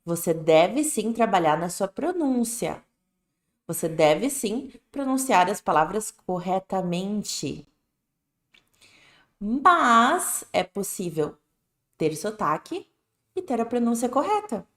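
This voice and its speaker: A woman's voice: medium pitch, sing-song, slow, a bit bright. A woman with a Brazilian Portuguese accent, teaching an English lesson on a live stream in a casual, direct way, often checking in with "né?" and "tá bom?". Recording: echoey room.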